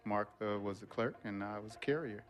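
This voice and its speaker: An actor playing a firm, caring middle-aged father, speaking in a measured, earnest way. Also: on the low side, faint music behind, lightly acted. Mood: fond, reminiscing. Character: firm, caring father and former mail carrier. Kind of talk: film drama acting.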